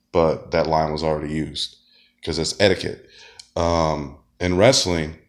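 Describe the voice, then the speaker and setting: A man talks in a loose, rambling monologue, full of fillers and false starts. His voice sits very low because he is sick. Now and then he coughs between phrases. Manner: rambling, many fillers and restarts. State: sick, deep voice. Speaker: wrestler and comedian. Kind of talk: casual monologue.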